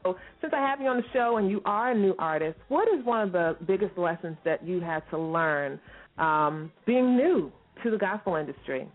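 A woman's voice: on the low side, expressive. A middle-aged woman hosting a music talk show, chatty and playful. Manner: playful, chatty, quick to laugh. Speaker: radio show host. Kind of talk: casual talk-show chat. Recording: tinny phone-line sound.

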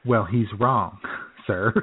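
A man co-hosting a chat show, telling a story in a relaxed way, often chuckling and clearing his throat. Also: fairly low pitch, slow, noisy background. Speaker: podcast co-host. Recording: phone-like lo-fi audio.

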